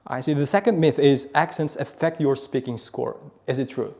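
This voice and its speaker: Male host for a sit-down interview: medium pitch, noticeable vocal fry, prepared questioning. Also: moderate pace, a little mellow.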